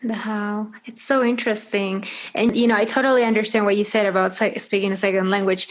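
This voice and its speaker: A woman's voice: mid-range voice, steady tone, fairly quick, low-quality recording. A woman from Peru whose native language is Spanish, hosting an interview in a chatty way, with lots of "like" and "you know". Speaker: podcast host. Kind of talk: casual interview chat.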